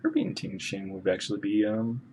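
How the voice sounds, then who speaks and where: A woman's voice: a bass voice, very animated, normal speed, darkish tone, a little hiss or hum. A woman streaming a game with casual live commentary, full of offhand asides and short interjections.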